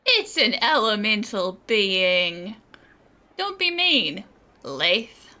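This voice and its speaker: A woman's voice: medium pitch, very animated, very slow, bright, forceful tone. A woman streamer giving casual, playful, chatty commentary as she answers game characters aloud. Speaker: gaming streamer. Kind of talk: casual reactive gameplay commentary.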